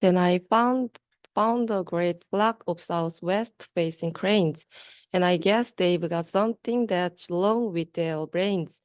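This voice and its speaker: Young female student, storybook read-aloud. Unhurried, clean tone, dry sound. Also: lowish voice, smooth, no room sound. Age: young adult. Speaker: student reader. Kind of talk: reading a rhyming children's book aloud.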